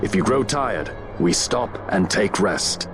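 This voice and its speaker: An actor playing a loyal veteran knight who speaks in a measured, formal, grave way, in a game cutscene. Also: male voice, fairly low pitch, animated, music in the background. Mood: solemn. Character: deep-voiced loyal veteran knight, solemn and steadfast. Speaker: knight escorting a princess. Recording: game cutscene with loud score.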